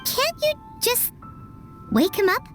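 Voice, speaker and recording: A very high, bouncy fairy girl voice that swoops up and down, childlike and blunt, over game music. She chatters about herself in the third person. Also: big pitch swings, slow, full character voice. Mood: curious. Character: tiny floating female fairy companion, blunt and excitable. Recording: game soundtrack music behind.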